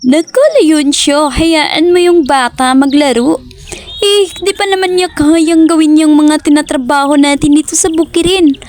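A woman's voice: high voice, unhurried, a little mellow. A woman voicing a protective, doting mother in a cartoon story who nags and coaxes her son. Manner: nagging, urging and coaxing.

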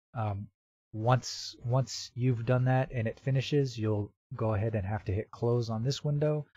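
A man with a mid-pitched voice talks through a software tutorial casually and a bit haltingly, working it out step by step. The recording is dry and close, with a slightly dull, lo-fi home microphone sound. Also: dry recording. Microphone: computer microphone with real-time noise removal.

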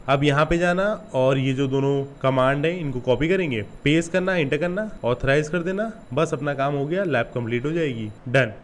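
A man's voice: medium pitch, a little hiss or hum. A man in his twenties with an Indian accent hosts a casual step-by-step screen tutorial, informal and instructional, mixing in English terms. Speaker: YouTube tutorial host.

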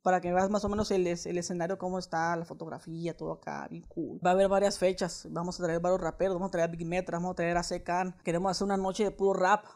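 A man in his twenties with a Mexican accent makes a casual prank call. He is slangy and coaxing, piling on mock earnestness as he makes his pitch. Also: flat delivery, fast, few pauses, darkish tone. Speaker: prank caller. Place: indoors, small living room.

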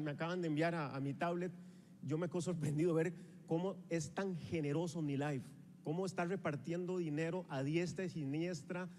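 A man in his forties with a Costa Rican accent, speaking energetically into a handheld microphone as he rallies a live audience. His voice sits high, and it rings out through the long reverb of a big convention hall. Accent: Costa Rican Spanish. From Costa Rica. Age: 40s. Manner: energetic, rallying the audience, walks the stage while gesturing. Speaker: motivational speaker at a sales rally. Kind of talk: motivational stage speech.

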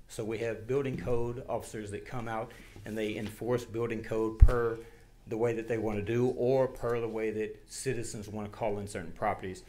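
A man's voice: lowish voice, darkish tone. A middle-aged man giving an insistent, partly improvised public comment at a podium microphone in a council chamber. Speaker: public commenter, local resident. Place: large indoor council chamber. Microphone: podium gooseneck microphone. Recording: faint-to-clear room noise behind podium speech.

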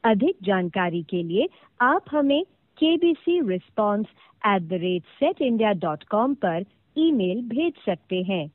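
Lady on a phone menu recording, thin tinny line, formal scripted instructions, really unhurried. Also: mid-range voice, sing-song, no echo. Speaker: automated phone line announcer.

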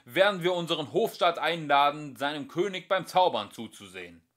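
Guy doing a pompous king from a fairy tale, loud n bossy, sharp voice, short fuse. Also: on the high side, expressive, normal speed, voice pushed up. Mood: grandly commanding. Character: foolish, imperious, short-tempered king.

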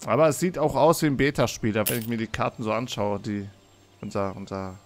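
A man with a slightly hoarse voice giving casual game commentary as a let's play host, thinking aloud and repeating words. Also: medium pitch, brisk. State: hoarse from being under the weather. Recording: music behind the voice. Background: game music.

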